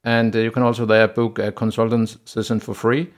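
A man from Denmark with a Danish accent, hosting a solo business podcast in a steady, plain way with frequent "uh" pauses. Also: lowish voice. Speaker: podcast host.

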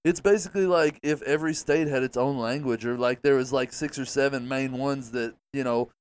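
A man co-hosting a casual podcast, rambling and animated, swearing freely. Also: medium pitch, a little fast, clean tone. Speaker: podcast co-host. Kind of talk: casual chat.

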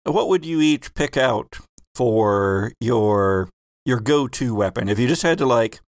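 Gravelly man running a tabletop game. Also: mid-range voice, unhurried, no room sound, clean studio sound. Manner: relaxed, joking questions to players. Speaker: game master.

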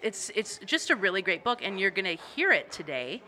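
A woman's voice: mid-range voice, big pitch swings, fairly quick, bright, forceful tone. A woman co-hosting a podcast in casual chat in a coffee shop, often giggling through her sentences. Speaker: podcast co-host.

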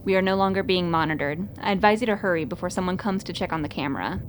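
A woman's voice: on the low side, fast, a little hiss or hum, natural voice. A woman playing a stern, sardonic undercover agent with a curt, commanding manner in a radio play. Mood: cold, commanding.

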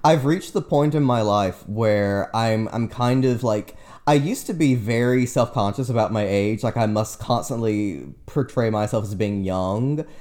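A young man in his late twenties, talking loosely on a podcast with a lowish voice. He rambles, restarts his sentences and pokes fun at himself. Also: a little slow, hardly any pauses, darkish tone. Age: 20s. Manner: rambling, self-deprecating, frequent restarts and fillers. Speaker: podcast guest and teacher. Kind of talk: casual podcast chat.

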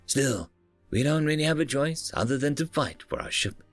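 A man's voice: mid-range voice, expressive, normal speed, dry recording, soft background music, pitched above the performer's own voice, close to an everyday voice. A man voicing a dutiful, wary alien warship captain with a formal, commanding manner in a science fiction story.

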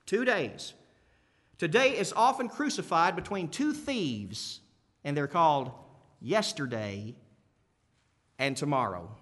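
A male preacher with a fairly high, very bright and forceful voice delivers a sermon in a hall with long reverb. He talks to his listeners conversationally, leaning on key phrases and repeating them for emphasis. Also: animated, slow, long pauses. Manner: conversational, rhetorical questions to listeners. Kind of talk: sermon to a congregation.

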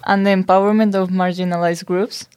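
A woman's voice: on the low side, flat delivery, brisk, darkish tone, clear, resonant tone. A young woman from Spain, co-hosting a student podcast and reading from notes with small stumbles. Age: young adult. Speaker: podcast co-host.

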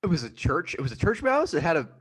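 Casting: man playing earnest, gullible husband, stammering with lively swings of pitch, improvised comedy. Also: fast talker, husky, full character voice. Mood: flustered. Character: flustered, gullible husband awed by a mouse.

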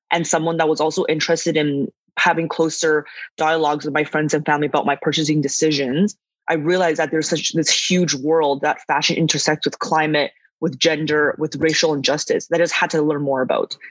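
A woman's voice: sits low, no echo. Dull-sounding recording of young woman, chatty. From born in northern China, grew up in western Canada from age eight. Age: young adult. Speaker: podcast guest, youth climate advocate. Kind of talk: conversational interview.

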